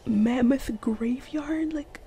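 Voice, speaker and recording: A woman speaking in a sleepy, soft, often whispered murmur, chatting intimately to a partner as in a girlfriend ASMR roleplay. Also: high-pitched, slow pace.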